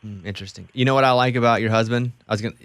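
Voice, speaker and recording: A man's voice: medium pitch, steady tone, very fast, fairly bright tone, dry recording. A man hosting a radio show, chatting casually and enthusiastically with a guest, often restarting his sentences. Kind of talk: casual interview chat. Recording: dry close studio mic.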